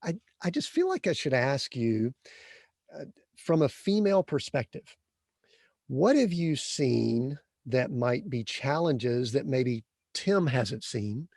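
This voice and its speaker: A man's voice: medium pitch, very animated, slow, a little mellow, dry recording, clean studio sound. A man hosting a podcast interview, asking earnest, rambling questions full of restarts. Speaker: podcast host.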